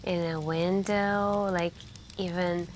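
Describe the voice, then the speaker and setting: A woman speaks in a fairly low, hushed voice at an extremely slow pace, leaving long pauses and sometimes slipping toward a whisper. It is intimate ASMR roleplay storytelling, with clear crackling campfire noise behind her. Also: fairly even intonation. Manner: hushed, drawn-out, pausing, intimate, with stretches of whispering. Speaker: roleplay storyteller playing a girlfriend on a camping trip. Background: campfire crackling and night ambience.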